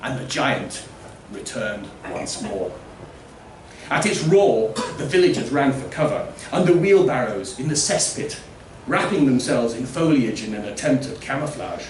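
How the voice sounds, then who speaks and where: A man narrates literary fiction in a mid-pitched voice, placed at some distance in a roomy space. His delivery is theatrical and deliberate, leaning firmly on important words. There is faint noise under the reading. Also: animated. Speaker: story reader. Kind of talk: dramatic literary story narration.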